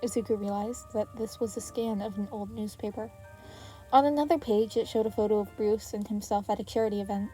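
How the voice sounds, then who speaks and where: A woman's voice: medium pitch, fairly even intonation, brisk, dark tone, soft background music, rough recording. A woman narrating a story as an amateur audiobook reader.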